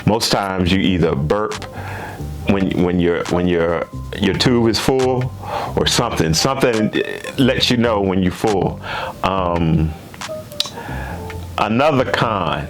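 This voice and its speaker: A man's voice: low-pitched, takes it slowly, long pauses, faint music behind. A man in his fifties chatting casually to his viewers, repeating himself and tagging lines with questions. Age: 50s. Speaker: gaming channel host. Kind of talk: casual chat to camera.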